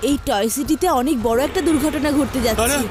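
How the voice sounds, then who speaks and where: A woman voicing a brave, reassuring child hero in a cartoon, with a confident, energetic delivery. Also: sits high, fast, close to an everyday voice. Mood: alert, determined. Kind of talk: cartoon dub acting. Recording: loud music under the voice.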